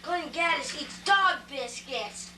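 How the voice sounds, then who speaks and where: A woman's voice: high voice, lively intonation, slow pace, pushed and bright, exaggerated voice. A woman voicing an eager little girl tattling to her mommy in an amateur puppet show. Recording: echoey, noisy room from far off.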